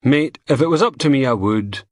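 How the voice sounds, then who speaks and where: A man's voice: mid-range voice, lively intonation, moderate pace, no room sound, clean studio sound, pitched above the performer's own voice, natural voice. A man voicing a television technician with a cold, sounding nasal and stuffy. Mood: reluctant. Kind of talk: audiobook character voice.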